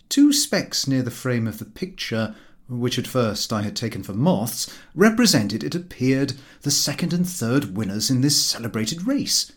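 A man reading a comic novel aloud as a narrator, expressive and drily humorous. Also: mid-range voice. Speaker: audiobook narrator.

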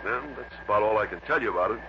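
A man's voice: fairly low pitch, lively intonation, rapid-fire, lightly acted. An actor playing a genial, chatty but slightly guarded American businessman in a 1960s radio drama, hearty and conversational. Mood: confiding. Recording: muffled, noisy vintage radio broadcast.

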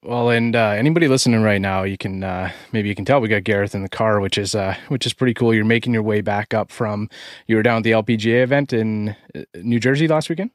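A Canadian man with a lowish voice hosts a relaxed chat, stringing thoughts together with lots of fillers. His sound is close and dry, with no room around it. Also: quick pace, no echo. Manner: relaxed, many fillers, upbeat. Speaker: podcast host. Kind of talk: casual interview chat.

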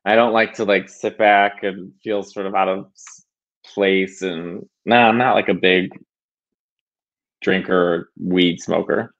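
Guy on a podcast, kinda low voice, keeps restarting his sentences, dull lo-fi audio. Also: fairly even intonation, a bit bright. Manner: halting, self-conscious, many restarts and likes. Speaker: podcast guest. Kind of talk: casual interview chat.

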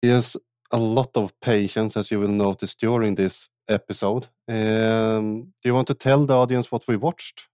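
A man's voice: on the low side, fairly even intonation, measured pace, darkish tone, clean tone, dry recording, clean studio sound. A man hosting a podcast, reading a lightly joking intro, then interviewing his guest. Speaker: podcast host.

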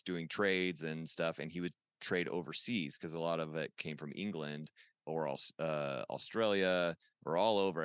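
Deep-voiced rambling man in his forties. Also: fairly bright tone, clean tone, no echo, clean studio sound. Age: 40s. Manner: rambling, enthusiastic, says "dude" a lot. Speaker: podcast guest, toy artist. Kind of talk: casual chat.

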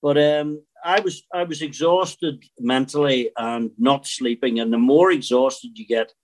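A man in his early sixties from Northern Ireland talks as a guest in a personal conversation. His accent is Northern Irish and his voice sits at a middling pitch. He speaks frankly and bluntly, with some mild swearing. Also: steady tone, unhurried, a little mellow. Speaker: interview guest.

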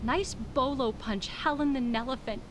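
A woman acting in an audio drama as an affectionate loved one, tender and deliberate. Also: high voice, noisy background, lightly acted. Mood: hopeful. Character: affectionate loved one relieved by distant messages. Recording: loud music behind the voice.

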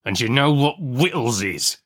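Man acting a menacing, rough-spoken convict. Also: medium pitch, big pitch swings, measured pace, a bit bright, pitched above the performer's own voice. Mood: threatening. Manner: uneducated dialect, bullying. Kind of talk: audiobook character acting.

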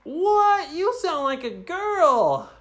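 A woman as a cheeky, high-voiced teasing kid. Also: very animated, extremely slow, fairly bright tone, full character voice. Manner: blunt, mock-innocent teasing. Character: cheeky, giggly young children teasing a dragon.

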